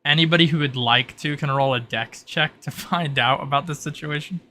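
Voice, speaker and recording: A man's voice: fairly high pitch, normal speed, bright, forceful tone, a little hiss or hum. A man narrating a tabletop roleplay as game master in a casual, improvised and informal way. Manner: informal, peppered with "like".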